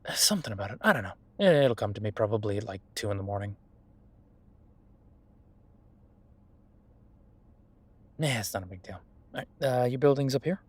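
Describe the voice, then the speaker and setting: A man's voice: on the low side, animated, rapid-fire, slightly rough. A man playing a friendly, self-made CEO and old college friend in a roleplay audio drama, talking casually with stammers and self-deprecation. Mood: puzzled, hesitant. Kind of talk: intimate one-sided roleplay audio drama, conversational.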